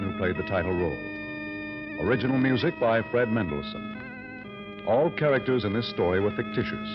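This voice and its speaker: A man announcing formally and emphatically in scripted 1940s network radio style. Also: on the low side. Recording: muffled vintage radio broadcast. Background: music.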